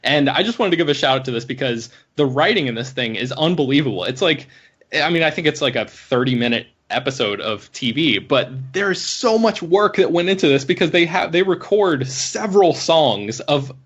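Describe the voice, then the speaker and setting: A man's voice: fairly high pitch, expressive, normal speed, a bit bright, husky. Rambling man on a dull-sounding podcast. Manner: rambling, hedging with fillers. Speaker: podcast host. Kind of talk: casual podcast chat. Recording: slightly dull podcast audio.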